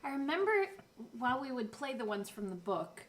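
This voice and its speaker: A woman's voice: fairly high pitch, animated, a little fast, slight background noise. A woman chatting casually as a podcast co-host, indoors with her co-hosts. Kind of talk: casual chat. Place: indoors, in one room with the co-hosts.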